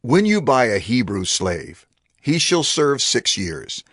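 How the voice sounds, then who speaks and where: A man's voice: medium pitch, fairly bright tone, breathy edge, no echo, clean studio sound, heavily acted. A man playing Moses as a solemn prophet and lawgiver in a dramatized scripture audio drama, with emphatic, declarative delivery.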